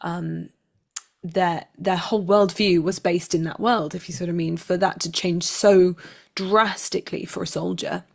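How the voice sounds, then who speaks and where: A woman's voice: on the low side, fairly even intonation, a little slow. A woman speaking as an actor being interviewed, answering in a reflective, articulate and conversational way.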